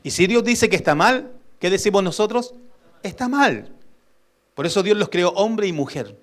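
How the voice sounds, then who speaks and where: Emphatic, higher-voiced male pastor preaching. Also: lively intonation, a bit bright. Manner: emphatic preaching that asks the congregation for responses; stretches key words slowly for weight. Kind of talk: sermon.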